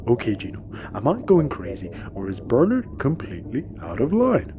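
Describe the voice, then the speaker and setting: A man's voice: medium pitch, wildly swooping pitch, normal speed, noisy background. An actor playing a dim, guileless, earnest oddball spaceship crewman in a sci-fi audio comedy. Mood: earnest, indignant.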